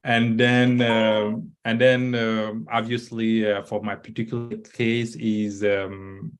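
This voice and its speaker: A man's voice: fairly low pitch, level tone, slow pace, clean tone. A middle-aged man, not a native English speaker, talking on a video call through a headset, hesitant with many fillers and restarts. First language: not English, not French. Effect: video call audio. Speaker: author and scholar. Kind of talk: casual panel talk. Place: indoor room.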